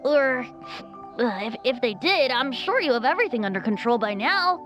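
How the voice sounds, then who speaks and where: Lady doing a boyish bard, high n lively, rambly and sheepish, game music behind. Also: normal speed, muffled recording. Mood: dismissive. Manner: hesitant, casual, giggly, rambling. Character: carefree, boyish young male bard, sheepish and evasive. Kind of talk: video game voice acting.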